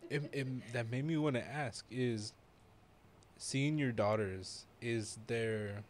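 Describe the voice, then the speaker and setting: A man hosting a podcast, asking gentle, supportive interview questions. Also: mid-range voice, slow, a little hiss or hum. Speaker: podcast host.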